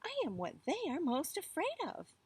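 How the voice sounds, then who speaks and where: A woman's voice: high-pitched, wildly swooping pitch, moderate pace, pitched above the performer's own voice. A woman voicing a boastful, sneaky male wolf in a children's storybook, with a haughty manner. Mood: haughty boasting.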